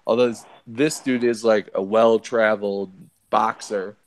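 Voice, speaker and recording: A man's voice: lowish voice, steady tone, measured pace, low-quality recording. A man in a casual podcast chat who talks in a laid-back, slangy way. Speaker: podcast co-host.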